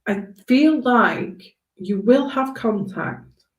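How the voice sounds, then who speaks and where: Slow-paced woman reading tarot, roomy sound. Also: fairly high pitch, animated. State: hoarse after long talking. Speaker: tarot reader hosting a live stream. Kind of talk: spontaneous live tarot reading, conversational. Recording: roomy, echoing room sound.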